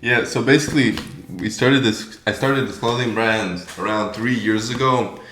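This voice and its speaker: A man's voice: mid-range voice, moderate pace, a bit bright, slight background noise. A twenty-year-old man from Latvia, a native Latvian speaker with a Latvian accent, talking casually and informally in a Q&A. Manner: informal, slangy. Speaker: clothing brand founder. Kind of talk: casual Q&A chat. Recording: echoey room.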